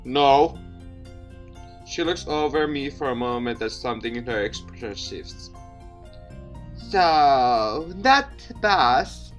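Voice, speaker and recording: A game streamer with a mid-pitched voice reading a story game aloud expressively, with asides and the odd stumble over words. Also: animated, takes it slowly, long pauses, faint music behind. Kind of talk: let's play narration with reactions and asides.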